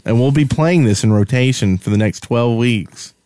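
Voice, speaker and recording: A man's voice: mid-range voice, moderate pace, mellow, dry recording. A man co-hosting a gaming podcast in a casual, conversational style. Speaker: podcast co-host.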